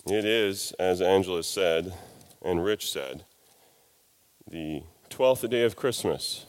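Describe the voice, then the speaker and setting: Congested deep-voiced male pastor. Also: takes it slowly. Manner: folksy, self-deprecating humor. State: congested from a cold and sinus infection. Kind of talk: sermon with scripture reading.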